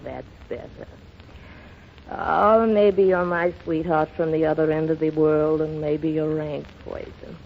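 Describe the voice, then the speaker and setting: A woman's voice: low-pitched, steady tone, unhurried, creaky. An actress in 1930s radio drama style, playing a self-possessed, sophisticated young society woman with a poised, coolly romantic manner. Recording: muffled, noisy vintage 1930s radio broadcast.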